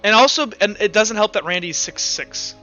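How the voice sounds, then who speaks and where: Man, high bright voice, tabletop game master, casual narration, dull lo-fi audio, music behind. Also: lively intonation, brisk.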